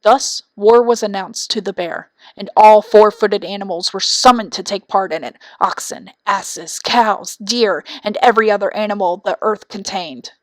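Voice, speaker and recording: A woman's voice: medium pitch, moderate pace, hardly any pauses, no echo. A woman reading a scripted children's fairy tale as an audiobook narrator.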